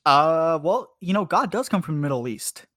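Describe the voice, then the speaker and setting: A man's voice: a little high, lively intonation, moderate pace, a bit bright, dry recording, clean studio sound. A man bantering and telling stories casually as a podcast co-host, with a profane, joking and teasing manner.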